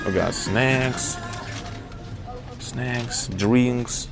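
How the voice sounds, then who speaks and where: Young man, slow easygoing chat, music playing behind. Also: mid-range voice, creaky. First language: Russian. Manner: chatty, curious, exclaims at things, many "like" fillers. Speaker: vlogger. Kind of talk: casual walking vlog for English learners. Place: city streets outdoors and inside a small shop.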